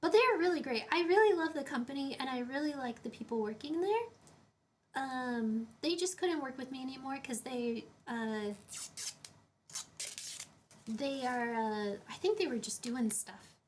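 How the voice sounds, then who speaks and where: A woman with a high, girlish voice chats casually to her stream, rambling and restarting her sentences. She is picked up from a few feet away by a shotgun mic in a room at home. A faint hiss sits under her voice. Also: normal speed. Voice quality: higher-pitched voice. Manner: chatty, rambling, many fillers and restarts. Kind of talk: casual live-stream chat, reading chat questions. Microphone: shotgun condenser mic about five feet away.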